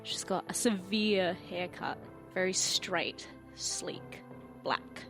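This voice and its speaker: A woman playing a tabletop role-playing game, describing her character in halting, thoughtful phrases, with music clearly behind her. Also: fairly low pitch, steady tone.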